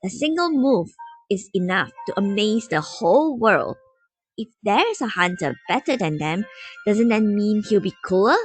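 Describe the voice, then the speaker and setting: A woman's voice: medium pitch, big pitch swings, unhurried, breathy edge, dry recording, soft background music. A woman voicing an eager, wide-eyed seven-year-old boy in a storybook reading. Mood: eager, doubting.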